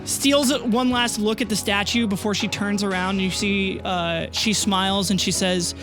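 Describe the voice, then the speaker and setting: A game master narrates a tabletop adventure over prominent music. The pitch sits in a middle range and the delivery is conversational, peppered with "like". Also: fairly even intonation, moderate pace. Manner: conversational, uses "like" often. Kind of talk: narrating a tabletop roleplay audio drama. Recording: music under the voice. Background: background music.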